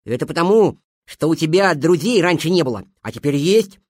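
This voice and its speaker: A brash, soft-hearted storybook hare as a woman plays him. He is emphatic and exclamatory, with a highly animated voice in a dry, close sound. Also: brisk, no room sound. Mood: insistent. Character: excitable, bossy, soft-hearted young male hare.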